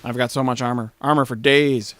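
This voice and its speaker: A man's voice: mid-range voice, fairly even intonation, a little fast, a bit bright, faint music behind. A man playing a video game and chatting casually, with wry joking asides. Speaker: gaming video co-host and player. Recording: slightly noisy home gameplay recording.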